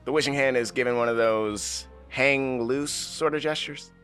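A man's voice: medium pitch, normal speed, a bit bright, soft background music, lightly acted. An actor playing a guileless, earnest young wannabe businessman in a comedy radio play. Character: naive, eager young bearded wannabe businessman.

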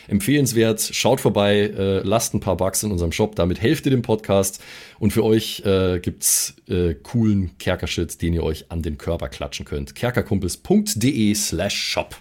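A man's voice: lowish voice, normal speed, a little mellow. A man co-hosting a podcast, talking casually with slangy, laid-back salesmanship. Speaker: podcast host and tabletop player. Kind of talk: casual chat and informal self-promotion.

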